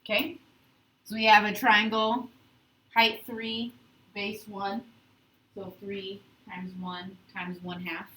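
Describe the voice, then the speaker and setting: Echoey, bright-voiced woman teaching a class. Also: mid-range voice, level tone, slow, long pauses. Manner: instructive, checks understanding often with short prompts. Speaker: high school calculus teacher. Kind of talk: classroom teaching with questions to students. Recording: echoey classroom, distant mic, faint noise.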